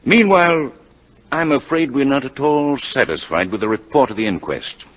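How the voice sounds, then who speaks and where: A man acting a pompous, smug insurance boss with a husky voice in 1950s radio drama style. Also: mid-range voice, expressive, moderate pace, natural voice. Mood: pressing, smug. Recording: muffled vintage radio broadcast.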